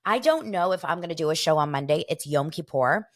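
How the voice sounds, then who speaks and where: American female podcast host, forties, slightly low register, informal and jokey, chatting directly to her audience. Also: lively intonation, a little fast. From Los Angeles, United States. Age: 40s. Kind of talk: casual solo entertainment gossip chat.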